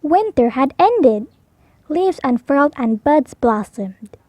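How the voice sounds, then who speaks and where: High, lilting woman reading a storybook slowly. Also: very animated, dark tone, dry recording. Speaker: storybook read-aloud narrator. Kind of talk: slow children's storybook narration.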